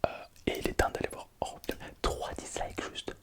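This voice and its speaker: A young man whispering playfully through an ASMR video, reading comments aloud in casual slang and laughing often. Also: normal speed. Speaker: ASMR content creator.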